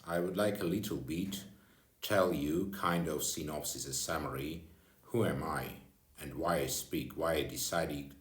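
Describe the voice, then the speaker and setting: Slow, deep-voiced older man with Armenian accent. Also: reverberant. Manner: slow, halting non-native English with pauses and fillers. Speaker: retired official addressing followers. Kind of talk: direct address to camera.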